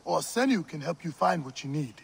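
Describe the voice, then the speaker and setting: An actor playing a warm, teasing village friend of a warrior in a video game, speaking expressively and familiarly. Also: male voice, fairly high pitch, animated, fairly quick, creaky, slightly rough, exaggerated voice. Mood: earnest, worried. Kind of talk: video game cutscene acting.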